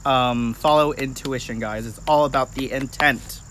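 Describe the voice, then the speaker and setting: A man's voice: mid-range voice, fairly even intonation, moderate pace, a bit bright, a little hiss or hum. A man in his late twenties chatting casually and informally to viewers on a livestream. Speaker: livestream host, practitioner of folk magic.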